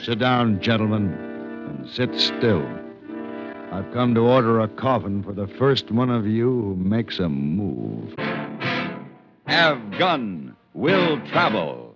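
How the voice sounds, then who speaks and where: An actor playing a cool, menacing gunslinger in a vintage radio Western, commanding in manner, on an old broadcast recording. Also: male voice, on the low side, very animated, full character voice. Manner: slow, commanding. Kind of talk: 1950s radio Western acting. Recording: dull vintage radio, music behind.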